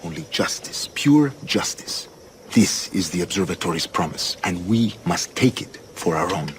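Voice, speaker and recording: An actor playing an authoritative older governor and scheming grandmaster in a video game, with a Spanish accent and a measured, formal, grandiose delivery. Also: male voice, low-pitched, animated, background noise. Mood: solemn, zealous. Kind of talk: dramatic video game acting.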